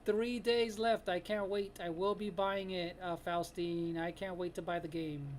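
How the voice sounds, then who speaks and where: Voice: mid-range voice, fairly even intonation, a little slow, slight background noise. A streamer in their late thirties chatting casually with viewers while drawing live, laughing often and greeting people by name. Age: 30s. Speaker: live-stream artist host.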